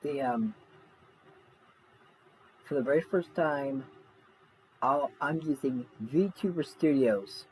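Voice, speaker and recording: A man hosting a casual livestream, speaking in a halting, repetitive way. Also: mid-range voice, a little mellow, husky. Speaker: livestream reaction host. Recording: noisy home livestream audio.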